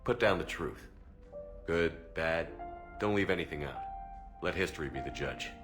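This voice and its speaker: An actor playing a steady, resolute military commander in a video game, with a firm, terse delivery. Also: male voice, very deep voice, moderate pace, music in the background. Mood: calm, serious. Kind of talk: video game drama acting.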